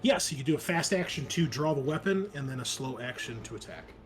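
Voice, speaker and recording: A man's voice: on the high side, animated, fairly quick. A man game mastering a tabletop roleplaying game, explaining rules and narrating the action in casual talk.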